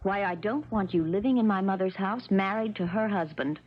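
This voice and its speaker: A woman's voice: medium pitch, fairly quick, close to an everyday voice. An actress playing a cold, spoiled, scheming young rich woman in a 1970s radio drama, speaking in a haughty, cutting way. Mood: bitter, scornful. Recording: dull, noisy 1970s radio recording.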